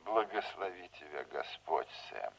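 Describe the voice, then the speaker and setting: An older man acting in a radio play as a folksy, scheming veteran politician, unhurried, fatherly and insinuating. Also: rumbling low, lively intonation, vocal fry, slightly rough, full character voice, poor audio. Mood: smooth, paternal.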